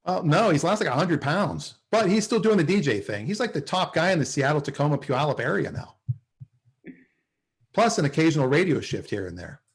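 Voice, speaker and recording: A man acting a flustered, smitten office salesman in a sitcom table read, with a halting, excitable delivery. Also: on the high side. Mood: awkward banter. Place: video call at a table read.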